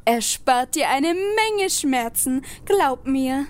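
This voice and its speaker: A woman playing an exasperated, sharp-tongued adventurer in a comedy audio play, talking in a sarcastic, scolding way. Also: sits high, animated, slow pace, no room sound.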